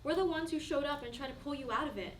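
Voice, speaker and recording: A woman's voice: sits high, fast talker, natural voice. Woman acting a betrayed ex-friend in her twenties, confrontational, stage drama, roomy distant sound. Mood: challenging, hurt. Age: young adult. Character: bitter, challenging 23-year-old ex-best friend, hurt and betrayed. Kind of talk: one-act play dialogue. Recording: echoey room, distant mic, faint noise.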